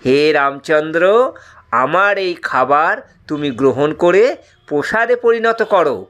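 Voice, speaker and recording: A man voicing a calm, stern old holy man who gives slow, measured instructions. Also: fairly high pitch. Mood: instructing. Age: older.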